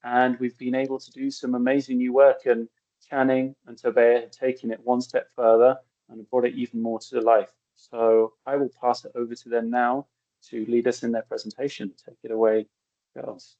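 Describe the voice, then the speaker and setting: A man's voice: mid-range voice, level tone, normal speed, warm tone. A man, the project director, opening a presentation in an upbeat, welcoming way with a few "uh" fillers. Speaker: project founder and director, host. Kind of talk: formal introduction. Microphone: video call. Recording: muffled lo-fi video call audio.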